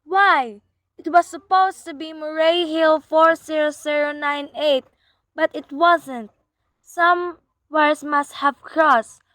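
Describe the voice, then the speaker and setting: A woman's voice: high voice, fairly even intonation, takes it slowly, a bit bright, no echo. A woman playing a frail, anxious, imperious wife alone at home in a radio thriller, fretful and melodramatic. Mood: shaken, urgent. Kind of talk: old-time radio thriller acting.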